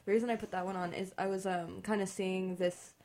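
A woman's voice: medium pitch, steady tone, brisk, darkish tone. A young adult woman guest on a radio show, talking candidly and sarcastically, rambling with lots of fillers. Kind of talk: casual chat.

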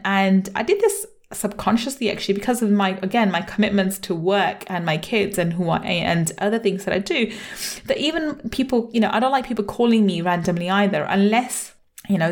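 A middle-aged woman hosting a solo podcast as a coach, talking conversationally and often repeating herself. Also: mid-range voice. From England (born in Manchester, grew up in London). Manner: conversational, repeats herself, frequent "you know". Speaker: podcast host, money mindset coach.

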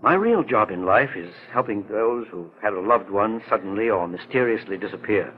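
A muffled 1940s radio recording of a shrewd older detective. His voice is husky and swings expressively, with a courteous, dryly questioning manner in vintage drama style. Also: fairly low pitch, lively intonation, moderate pace. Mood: calm, explaining. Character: kindly, shrewd older male private investigator.

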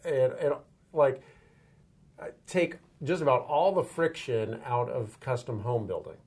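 A man's voice: mid-range voice, measured pace, darkish tone, husky. A man hosting an interview, asking curious questions and thinking aloud with fillers. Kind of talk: conversational interview.

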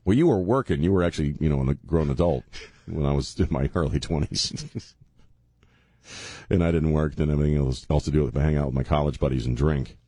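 Deep-voiced rambling male radio host. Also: a little fast, warm tone, breathy edge, no echo, clean studio sound. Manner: rambling, sardonic, restarts often. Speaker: radio talk show host. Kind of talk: casual talk radio monologue. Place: radio studio. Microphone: studio microphone.

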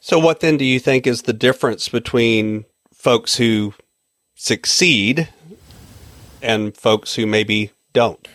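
A man's voice: mid-range voice, slow, fairly bright tone, dry recording, studio quality. A man hosting a podcast interview, asking questions in an easygoing way with light laughs. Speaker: podcast host.

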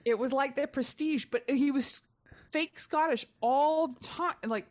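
A young adult woman, a stand-up comedian, chatting casually on a podcast and telling gossipy road stories with lively animation. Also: high voice, a little slow, no echo. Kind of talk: casual podcast chat, storytelling.

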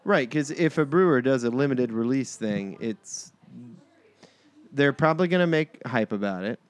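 A man's voice: mid-range voice, lively intonation, moderate pace, clear, resonant tone. Dry-humored man near thirty. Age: 30s. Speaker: podcast guest. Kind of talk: casual podcast chat.

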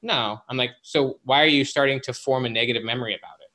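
A man's voice: medium pitch, fast talker, sharp tone. A man speaking as a guest psychologist in a conversational interview, explaining informally with lots of filler words like "like" and "you know".

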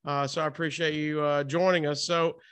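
A man's voice: fairly high pitch, level tone, a little fast, a bit bright, clean tone. A man hosting a podcast interview in a casual, enthusiastic, informal way. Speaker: podcast and radio host interviewer. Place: hotel room, remote call.

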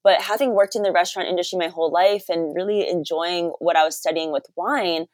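A young American woman speaks as a podcast guest, recounting things conversationally. Her voice is on the low side for a woman, and it is captured very dry, with no room sound. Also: fairly even intonation, a little fast, no room sound. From Hawaii, United States. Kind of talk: conversational storytelling in an interview.

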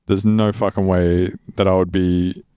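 An American man with a clean, smooth voice on the low side chats casually. His manner is blunt and informal, and the recording is dry. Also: little pitch movement, unhurried, warm tone, no echo. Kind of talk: casual chat.

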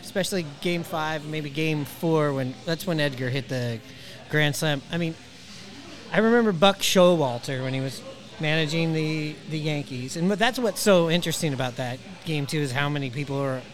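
A man with a fairly high voice speaks as a podcast host and interviewer. He chats like an enthusiastic fan, wandering through his questions in a casual way. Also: expressive, normal speed.

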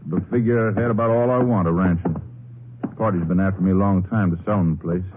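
An actor playing a folksy, grumbling rancher with an American Western accent and rustic speech in a radio western. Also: male voice, low-pitched, fast talker, music in the background, close to an everyday voice. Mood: folksy, matter-of-fact. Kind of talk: 1950s radio western acting. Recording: noisy, tinny 1950s radio recording.

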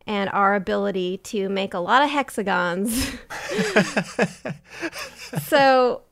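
A woman's voice: mid-range voice, takes it slowly, creaky. A woman host explaining a science topic in casual, playful, jokey podcast chat.

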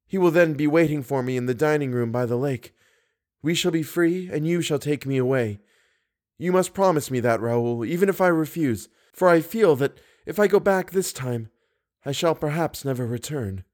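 A man reading an audiobook, voicing a frightened young woman opera singer in a raised, hushed, pleading voice. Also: on the high side, voice pushed up, lightly acted. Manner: fearful, pleading, breathy hush.

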